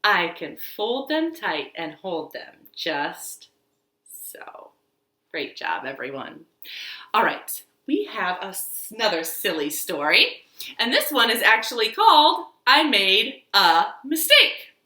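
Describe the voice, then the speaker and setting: A woman hosting a children's storytime, reading and reciting rhymes in an expressive, sing-song, exaggerated way for young children. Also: medium pitch, slow pace. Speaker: children's storytime host at a library. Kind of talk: children's storytime with action songs, rhymes and picture-book reading. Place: living room at home. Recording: echoey room.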